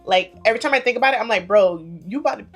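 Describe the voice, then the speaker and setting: A woman in her thirties chatting casually to camera as a vlogger, playful and confiding, her loudness and pace rising and falling a lot. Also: fairly high pitch, racing, music in the background. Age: 30s.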